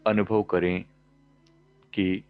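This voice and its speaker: A man leading a guided meditation as a teacher, giving calm, measured instructions in short phrases with pauses between them. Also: deep voice, phone-quality sound, faint music behind. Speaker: meditation teacher and life coach.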